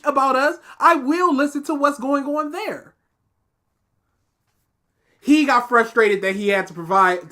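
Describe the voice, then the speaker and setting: A woman's voice: high-pitched, bright, forceful tone. A woman with a light, nasal voice co-hosting a casual reaction commentary, arguing her points and getting exasperated. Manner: argumentative, gets exasperated. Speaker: co-host reacting to a video.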